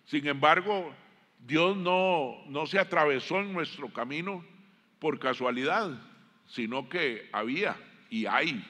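Slow, forceful Costa Rican preacher in a hall. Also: male voice, mid-range voice. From Costa Rica. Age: middle-aged. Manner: deliberate, with frequent pauses and emphatic phrasing. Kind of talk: sermon. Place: large indoor church auditorium stage. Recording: long hall reverb from stage sound system.

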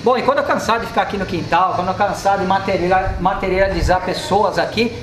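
A man's voice: high-pitched, a little fast, background noise. A Brazilian man in his forties, a mechanic hosting his channel, explaining repair work while gesturing at a car in an indoor workshop. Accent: Brazilian Portuguese. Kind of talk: casual workshop vlog talk. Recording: echoey workshop with music underneath.

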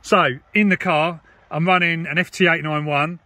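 A man's voice: a little high, steady tone, moderate pace, sharp tone, dry recording. A man, talking casually as he demonstrates and chats on CB radio. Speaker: vlogger and CB radio hobbyist. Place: inside a parked car. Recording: clear background noise and radio hiss. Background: CB radio static and chatter.

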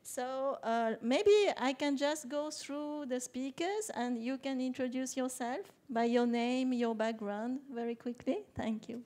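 A woman moderating a panel discussion on a conference hall stage, speaking in measured phrases with filler pauses. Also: sits high, warm tone.

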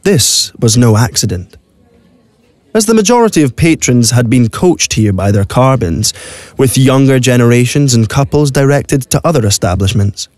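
A man's voice: medium pitch, lively intonation, moderate pace, a little mellow, no room sound. A man reading scripted story narration for an audio play. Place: recording studio.

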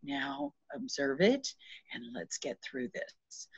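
A middle-aged woman speaking earnestly as an interview guest, explaining energy healing in casual conversation with pauses. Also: sits high, sing-song, vocal fry, husky, dry recording. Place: small room at home. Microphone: video call, shared device at arm's length. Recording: dull, muffled video-call audio.

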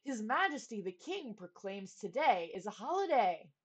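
A woman voicing an earnest, worried young page boy who calls out in a high, urgent voice. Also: big pitch swings, moderate pace, breathy edge. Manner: high, urgent, alarmed calling.

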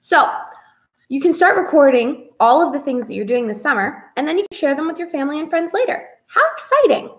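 A young woman with a fairly high voice hosts a read-aloud for children. She talks directly to kids in an expressive, sing-song manner, like a librarian at story time. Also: fairly quick. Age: young adult. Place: small indoor room with whiteboard.

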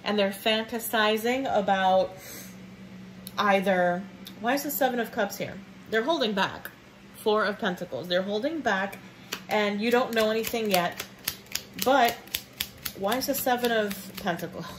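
This is a woman giving a relaxed tarot reading, a little sniffly, with a warm, chatty way of talking. She speaks at an everyday pitch and tosses in pet names for her viewers. A soft ambient hiss sits under her voice. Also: unhurried, poor audio. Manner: chatty and affectionate, calls viewers babe and baby nugget. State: sniffly from sinus trouble. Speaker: tarot reader. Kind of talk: casual tarot reading to camera. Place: indoors at a table with cards on red cloth.

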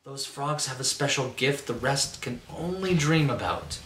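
A man's voice: medium pitch, lively intonation, a little slow. A middle-aged man reading a children's picture book aloud with expression, indoors. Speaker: storybook reader. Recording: roomy echo, faint music behind.

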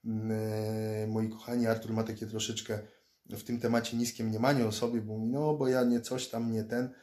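Low flat-voiced man rambling to camera. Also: normal speed. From Poland. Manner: rambling, repeats words and restarts often. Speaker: fighter and self-defense instructor vlogger. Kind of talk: casual talk to camera. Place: indoors, small room, seated in armchair. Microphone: phone or laptop camera close up.

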